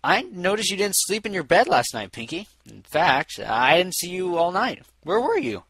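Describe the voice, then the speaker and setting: A man's voice: high-pitched, big pitch swings, normal speed, a bit bright, breathy edge, no echo, voice pushed up, full character voice. A man voicing a studious, friendly young female unicorn who is easily flustered and earnest. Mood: wary.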